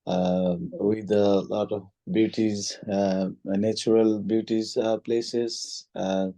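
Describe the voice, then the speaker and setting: A man from Nepal answering speaking test questions, hesitant with many pauses and restarts. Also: fairly low pitch, level tone, gravelly, mellow, dry recording. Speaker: test candidate. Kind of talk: mock speaking exam answers.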